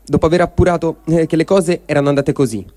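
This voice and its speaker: A man's voice: fairly high pitch, fairly even intonation, quick pace, dark tone. A man giving a dramatic reading of a first-person written diary. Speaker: reader of a translated testimony.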